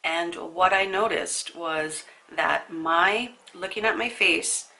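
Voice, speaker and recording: A young woman talks the viewer through her makeup in a chatty, storytelling way, her nose audibly stuffy from a sinus infection. Her voice sits a little low for a woman, with a gravelly, fry-laden edge and a bright, forceful tone. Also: slow. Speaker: beauty vlogger. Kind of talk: casual makeup tutorial talk.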